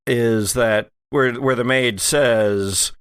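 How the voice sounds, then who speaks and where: A man's voice: medium pitch, drawn-out words, a bit bright, clean tone, no room sound, studio quality. A man chatting casually as a podcast host and game master. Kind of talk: casual chat.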